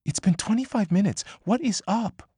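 A man voicing a brash, excitable young woman who talks fast, swears freely and pushes hard. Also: high-pitched, husky, dry recording, clean studio sound, voice pushed up, full character voice. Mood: agitated. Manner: quick, emphatic, swearing freely. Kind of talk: audiobook character voice.

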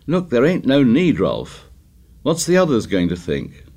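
A man voicing an anxious, stubborn boy in an audiobook, shrill and insistent, with uneducated grammar. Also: huge pitch swings, measured pace, mellow.

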